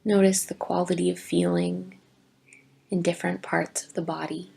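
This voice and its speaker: A woman teaching yoga nidra, giving gentle, deliberate guided meditation instructions with long pauses. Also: lowish voice, little pitch movement, vocal fry, husky. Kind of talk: slow guided meditation narration.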